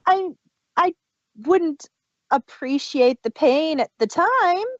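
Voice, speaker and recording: A woman chats casually as a podcast co-host. Her voice swings widely in pitch and moves at a slow, unforced pace. The recording is slightly muffled. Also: expressive, no room sound. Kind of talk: casual fan discussion.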